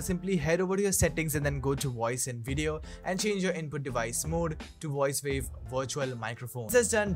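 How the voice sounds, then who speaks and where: A man narrating a software tutorial in an upbeat, salesy way as a video presenter. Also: a little high.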